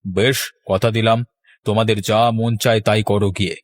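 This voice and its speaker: A man voicing a stern, principled middle-aged village landowner in a cartoon, speaking in a measured, formal way. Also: on the low side, level tone, no room sound, lightly acted. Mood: grave. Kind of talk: cartoon voice acting.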